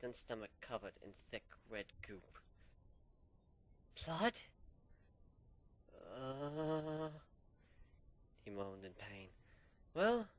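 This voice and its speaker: A man narrating a fan story in the first person as an amateur dramatic reading, animated and sinking low for suspense. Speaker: story reader.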